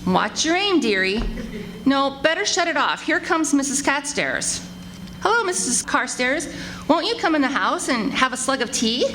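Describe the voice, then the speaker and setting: A woman's voice: high-pitched, big pitch swings, moderate pace, sharp tone, full character voice. A woman playing a patient, wry, homey wife who teases her husband in an old-time radio comedy. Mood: lively. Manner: homey, teasing. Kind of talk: 1940s radio comedy acting before a live audience. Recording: noisy live stage recording.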